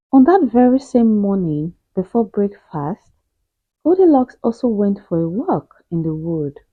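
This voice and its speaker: A woman reads a children's story aloud as a storyteller, with deliberate, emphatic delivery and drawn-out key phrases. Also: fairly high pitch, slightly rough, dry recording, phone-quality sound. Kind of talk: expressive children's storybook narration.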